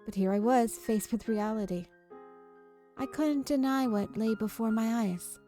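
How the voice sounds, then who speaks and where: Mellow-voiced woman reading a story aloud. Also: fairly high pitch, measured pace, soft background music. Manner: occasionally stumbles over words and rereads. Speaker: streamer reading a visual novel aloud. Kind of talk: read-aloud story narration.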